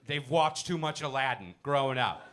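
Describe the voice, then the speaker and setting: A young man from Iran doing a brash, animated stand-up set in a comedy club and teasing the crowd on a handheld mic. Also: medium pitch, normal speed. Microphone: handheld stage mic.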